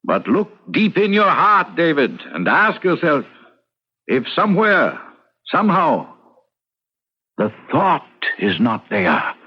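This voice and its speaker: A slow, swooping, pious clergyman. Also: on the high side, huge pitch swings, husky, full character voice. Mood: solemn, probing. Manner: measured, preacherly, theatrical. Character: pious, solemn, probing clergyman friend. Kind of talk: old radio drama acting. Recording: muffled old radio broadcast.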